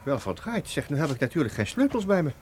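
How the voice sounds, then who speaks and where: An actor playing an exasperated older professor in a comic audio drama, muttering indignantly and repeating himself. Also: male voice, fairly high pitch, big pitch swings, quick pace, a little mellow, slight background noise. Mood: grumbling. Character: grumbling, exasperated, easily baffled older male professor.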